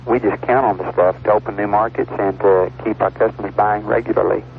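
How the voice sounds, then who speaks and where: A man's voice: fairly low pitch, steady tone, brisk. A man speaking as a business customer in an interview-style testimonial for a vintage radio ad. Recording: tinny, lightly noisy vintage radio ad.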